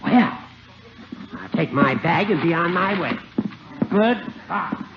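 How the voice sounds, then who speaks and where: Breezy American judge, friendly old pal, radio sitcom, animated delivery, muffled vintage sound. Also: male voice, high-pitched, takes it slowly, heavily acted. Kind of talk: 1950s radio comedy acting. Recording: muffled old radio recording, faint noise.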